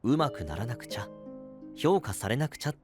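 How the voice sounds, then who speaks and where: A male actor playing a gentle, responsible young knight in an audio drama, soft-spoken and earnest. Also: medium pitch, very animated, fairly quick, music in the background, close to an everyday voice. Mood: calm, reflective. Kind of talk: Japanese anime-style audio drama acting.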